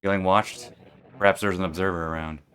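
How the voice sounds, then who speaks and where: A man's voice: low voice, fairly even intonation, fairly quick, sharp tone. A young man thinking aloud while playing a game, rambling and correcting himself as he goes. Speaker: streamer playing a video game. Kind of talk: casual let's play commentary.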